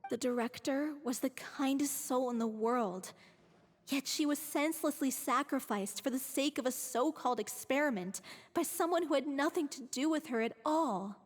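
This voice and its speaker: A high-voiced young troupe actress from a game who speaks frankly and directly. A long reverb trails her lines. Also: moderate pace. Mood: earnest. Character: young woman troupe member, frank and practical. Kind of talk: game voice acting.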